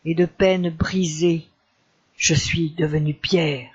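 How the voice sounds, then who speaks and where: A man's voice: fairly high pitch, level tone, slow, slightly rough, lo-fi sound. A man reciting a poem as a grieving, solemn enchanter haunted by war, in a declamatory and mournful manner. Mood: mournful, anguished.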